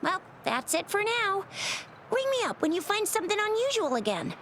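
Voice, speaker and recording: A woman's voice: extremely high pitch, very animated, moderate pace, a bit bright, full character voice. A woman voicing a breezy young female character in a video game. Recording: ambient noise behind voice.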